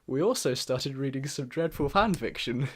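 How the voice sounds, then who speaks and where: A man's voice: mid-range voice, expressive, moderate pace, fairly bright tone, husky. A young British man narrating a scripted year-in-review vlog in a reflective, earnest way. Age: young adult. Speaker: video creator and student filmmaker. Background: background music with guitar.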